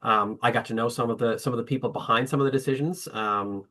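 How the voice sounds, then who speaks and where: A man's voice: mid-range voice, fast, pushed and bright. A man speaking on a webinar panel about his orchestra, hesitant, with many fillers and restarts. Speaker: orchestra representative.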